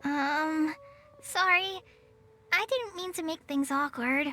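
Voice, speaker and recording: A woman's voice: sits high, slow, sharp tone, exaggerated voice. A woman voicing a shy young apprentice who speaks hesitantly in a game. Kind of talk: game voice acting.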